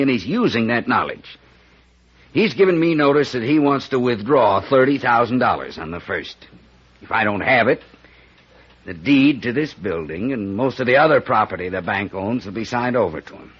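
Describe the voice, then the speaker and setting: Casting: small-town Western banker, American, earnest and uneasy, husky low voice, old radio-drama sound. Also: male voice, expressive, moderate pace. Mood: anxious, desperate. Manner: folksy, earnest. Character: worried small-town Western banker man, folksy and anxious. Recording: muffled, band-limited old radio recording.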